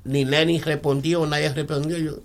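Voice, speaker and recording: A man's voice: a little high, flat delivery. A man with a Puerto Rican accent, a guest telling his story in an interview, often stopping to repeat words and asking if he is understood. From born in New York, raised in the Bronx. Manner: halting, repeats words, asks "¿entiende?".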